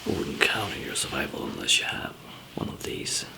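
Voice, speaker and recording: A man playing a calm, easygoing survival trainer in an ASMR zombie apocalypse roleplay, whispering softly and hesitantly with a gravelly, breathy voice. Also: close to an everyday voice. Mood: quietly instructive. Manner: hesitant, halting, soft-spoken. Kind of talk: ASMR roleplay, improvised monologue to listener.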